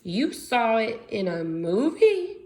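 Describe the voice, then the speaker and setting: A woman's voice: on the high side, very animated, a little mellow, full character voice. A woman voicing a bossy, exasperated young girl mad scientist from a storybook, with dramatic, emphatic delivery. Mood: shocked, angry. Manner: dramatic, emphatic delivery with drawn-out stressed words. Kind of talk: storybook character voice in a read-aloud.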